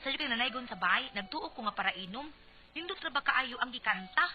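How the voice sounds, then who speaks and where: Actress as a high-voiced teasing Cebuano wife, muffled radio. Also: female voice, expressive, quick pace, natural voice. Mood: weary, confiding. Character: teasing, exasperated wife of a touchy husband, narrating her story. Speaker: radio drama actress and narrator. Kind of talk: Cebuano comedy radio drama. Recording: dull lo-fi radio, faint music.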